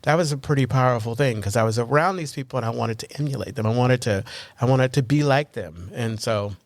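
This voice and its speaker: A man co-hosting a recovery podcast in casual chat, talking in an animated, rambling way full of restarts and self-deprecating humor. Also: mid-range voice, a little fast, no echo, clean studio sound. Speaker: podcast co-host.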